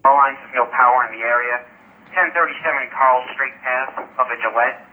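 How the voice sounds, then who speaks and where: Police dispatcher, male, mid-pitched. Relays incident reports on thin scanner radio. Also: steady tone, brisk, a little hiss or hum. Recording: tinny, narrow-band police scanner radio.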